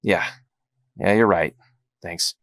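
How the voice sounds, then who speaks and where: Cocky, regretful young hero man performing, audio drama: creaky, casual, halting delivery. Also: low-pitched, fairly bright tone, dry recording, clean studio sound, exaggerated voice. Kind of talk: amateur audio drama acting.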